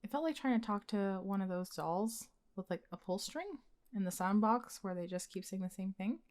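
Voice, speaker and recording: A young woman acting as a blunt, secretly smitten best friend in an intimate, conversational ASMR roleplay, with a casual, expressive delivery. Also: mid-range voice, normal speed, natural voice. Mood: exasperated, casual. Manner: casual, expressive, sighing, sweary.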